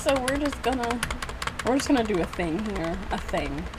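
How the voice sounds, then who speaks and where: A woman's voice: a little high, lively intonation, normal speed, background noise. A woman giving casual, rambling playthrough commentary, giggly and poking fun at herself. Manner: self-mocking, giggly, rambling. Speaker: video creator reacting to own game.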